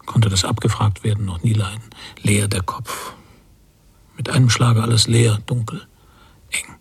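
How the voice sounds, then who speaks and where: Weary, very husky older man. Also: fairly low pitch, steady tone, measured pace, full character voice. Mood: oppressed. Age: 50s. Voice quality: husky, gravelly. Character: weary man of fifty, an emigrant struggling to learn English. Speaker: actor. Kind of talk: literary radio drama monologue.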